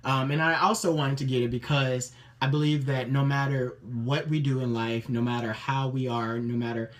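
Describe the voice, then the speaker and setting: Chatty man with an even, mid-pitched voice. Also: moderate pace. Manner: chatty and upbeat, many ums and self-restarts. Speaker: interviewee showing his tattoos. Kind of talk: casual personal account to camera.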